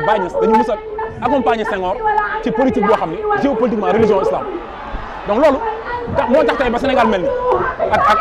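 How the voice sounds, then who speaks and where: A man arguing his point and explaining in a street interview at a rally. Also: very high voice. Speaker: rally participant. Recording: loud crowd, outdoor rally.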